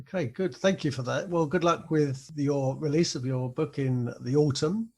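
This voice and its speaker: A man's voice: on the high side, moderate pace, darkish tone. A man hosting a podcast interview, speaking conversationally in an appreciative, encouraging way.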